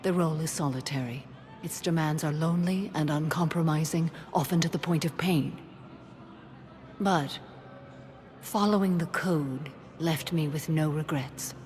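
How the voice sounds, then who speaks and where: A woman's voice: sits low, measured pace, a little mellow, slight background noise. An actress playing a stoic alien warrior-monk woman in a video game, serene, grave and formal. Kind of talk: cinematic video game acting. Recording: long hall reverb.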